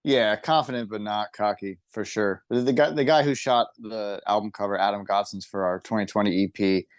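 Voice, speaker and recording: A man's voice: on the low side, fairly even intonation, a little fast, dry recording, studio quality. A man who sings and writes songs for a band, talking casually about making music in a podcast interview. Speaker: singer and songwriter in a band.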